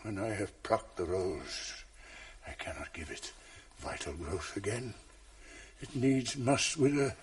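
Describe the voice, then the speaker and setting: An actor playing a tormented, jealous general in a classic verse radio drama, husky and brooding, shifting from hushed whispers to outbursts. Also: male voice, on the low side, animated, slow, exaggerated voice. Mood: brooding, tender.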